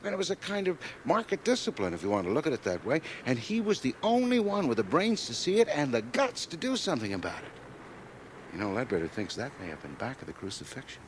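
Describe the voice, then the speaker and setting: A male actor plays an ambitious young man of business, pleased with himself and full of opinions. His pitch moves freely as he holds forth heartily. It is a radio drama from a cassette, with music in the background. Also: sing-song, fast, a little hiss or hum, natural voice, rough recording. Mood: smug, enthusiastic. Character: brash, ambitious, self-satisfied rising young businessman.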